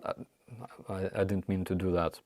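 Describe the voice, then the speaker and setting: A man with a Ukrainian accent hosting a casual live chat, answering viewer questions in halting, apologetic English with many restarts. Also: sits low, flat delivery, darkish tone, dry recording, studio quality. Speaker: livestream host.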